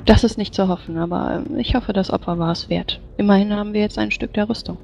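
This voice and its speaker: A woman's voice: medium pitch, steady tone, fast, vocal fry, darkish tone, lo-fi sound, close to an everyday voice. A woman playing a proper, concerned female adventurer in a tabletop game, speaking formally and politely. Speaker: player. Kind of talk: in-character tabletop roleplay. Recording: music behind the voice.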